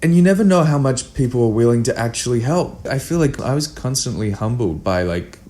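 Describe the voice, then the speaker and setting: A man's voice: medium pitch, lively intonation, fairly quick, warm tone. A man speaking as a filmmaker guest in a casual interview, earnest and emphatic, often repeating himself for emphasis.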